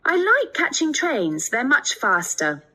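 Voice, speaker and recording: Bright, high-voiced young student. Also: female voice, wildly swooping pitch, slow pace, full character voice. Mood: open, chatty. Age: 20s. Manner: careful, spells things out clearly. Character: friendly 28-year-old Japanese woman student seeking a homestay. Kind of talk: scripted listening test dialogue.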